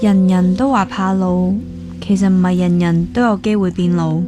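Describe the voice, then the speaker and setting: A woman's voice: fairly low pitch, steady tone, normal speed, music in the background, lightly acted. A woman with a Hong Kong Cantonese accent acting a determined, thrifty young dance teacher in a radio drama, in a plain, casual, matter-of-fact way. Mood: sympathetic. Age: young adult.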